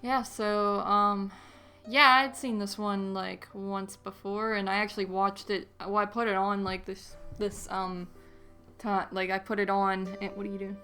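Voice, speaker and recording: A woman co-hosting a podcast, talking about movies in a casual, halting, conversational way. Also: mid-range voice, level tone, pushed and bright, clean tone, soft background music. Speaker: podcast co-host. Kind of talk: casual movie-review chat.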